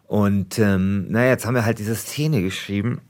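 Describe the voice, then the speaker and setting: Low-pitched man hosting a podcast. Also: moderate pace, darkish tone. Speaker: podcast host. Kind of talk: reading a script aloud and casual chat.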